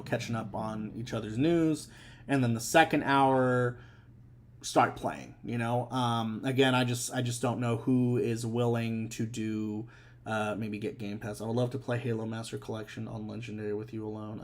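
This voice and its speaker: Rambling young man hosting a stream. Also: mid-range voice. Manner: rambling, many fillers and restarts. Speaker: streamer and host. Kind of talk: casual live-stream chat.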